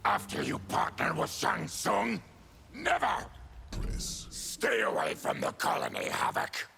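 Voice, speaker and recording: An actor playing a grim monstrous leader of outcasts in a fighting game, guttural and menacing. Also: male voice, fairly high pitch, wildly swooping pitch, sharp tone, slight background noise, exaggerated voice. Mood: stern, angry. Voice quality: guttural, very gravelly. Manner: slow, menacing warnings. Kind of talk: fighting-game creature acting.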